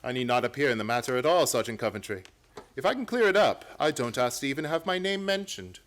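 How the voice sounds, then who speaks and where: An actor playing a brilliant, severe, self-assured English detective in a radio play, with a clipped, imperious, theatrical manner. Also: male voice, mid-range voice, lively intonation, fast talker, fairly bright tone, natural voice. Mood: decisive.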